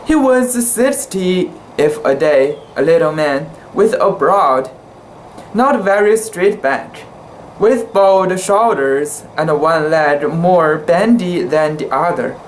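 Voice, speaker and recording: Slow, halting amateur story reader. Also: mid-range voice, animated, a little hiss or hum, rough recording. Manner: halting, careful word-by-word delivery with stumbles. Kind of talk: dramatic reading of a literary short story.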